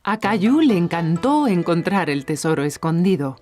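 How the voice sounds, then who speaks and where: A woman narrating a children's cartoon as a gentle storyteller, in scripted storybook style. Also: fairly low pitch, very animated, normal speed, soft background music. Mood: gentle, storytelling.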